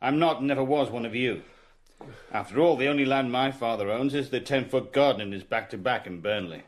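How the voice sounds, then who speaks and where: An actor playing a wry, insubordinate working-class spy in a radio drama, blunt and sardonic in manner. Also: male voice, mid-range voice, brisk, natural voice. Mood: cool, challenging. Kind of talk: radio drama acting with first-person narration. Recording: broadcast radio drama with faint noise.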